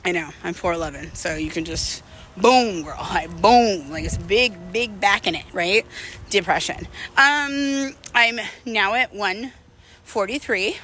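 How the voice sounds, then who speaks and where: A woman's voice: medium pitch, a little slow, sharp tone, slight background noise. A woman in her thirties talking in a candid, rambling, slangy way, as in a casual confessional walking vlog. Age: 30s. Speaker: vlogger.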